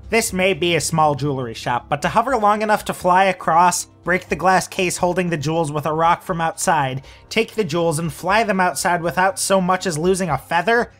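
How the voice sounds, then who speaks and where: An actor playing a brash, dismissive pony police investigator with a sarcastic manner in an audio drama. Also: male voice, high-pitched, normal speed, few pauses, a bit bright, faint music behind.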